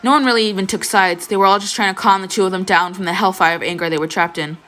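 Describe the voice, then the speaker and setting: A woman's voice: mid-range voice, fairly even intonation, fast, fairly bright tone. A young adult woman reading fan fiction as an amateur narrator. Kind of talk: expressive scripted story narration, casual asides.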